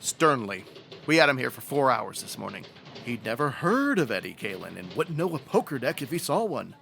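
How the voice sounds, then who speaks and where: A bright-voiced, matter-of-fact police sergeant. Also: male voice, mid-range voice, big pitch swings, normal speed, gravelly, soft background music. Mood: speculating. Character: matter-of-fact male police sergeant in old-time detective serial. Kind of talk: old-time radio detective drama acting.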